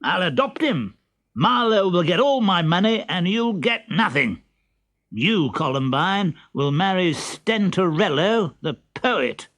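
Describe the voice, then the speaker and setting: Man acting a stern, high-pitched commanding father. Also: sing-song, takes it slowly, fairly bright tone, full character voice. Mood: stern, resolute. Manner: declamatory, emphatic. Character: stern, stubborn rich father, commanding and unyielding.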